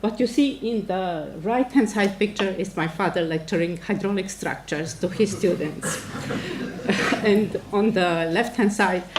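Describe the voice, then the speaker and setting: A woman's voice: mid-range voice, a little slow, background noise. A middle-aged woman from Romania with a Romanian accent, a native Romanian speaker, giving a formal academic address as a professor. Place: indoor lecture event before an audience.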